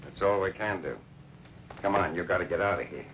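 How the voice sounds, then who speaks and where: An actor playing a sly, hard-nosed smuggling boss in an old western drama, speaking in a smooth, folksy way. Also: male voice, sits low, fairly quick, natural voice. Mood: calm, calculating. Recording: old muffled, noisy radio-era recording.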